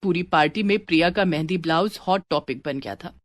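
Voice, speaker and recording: A woman's voice: fairly low pitch, a little fast, no room sound. A woman storyteller reading a scripted story as narration.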